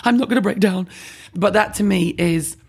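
A husky-voiced woman speaking bluntly and animatedly as a podcast guest in a candid conversation. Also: medium pitch, normal speed. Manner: blunt, animated, self-deprecating. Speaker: skincare blogger guest.

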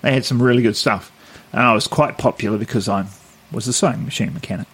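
A man co-hosting a podcast, telling stories in a relaxed way and laughing often. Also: medium pitch, quick pace, breathy edge. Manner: easygoing storyteller, laughs often. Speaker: podcast co-host. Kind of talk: casual chat.

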